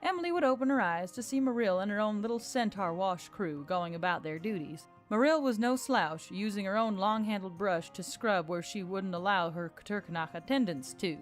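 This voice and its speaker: A woman's voice: medium pitch, animated, brisk, hardly any pauses. A woman reading a scripted fantasy story as narrator. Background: music.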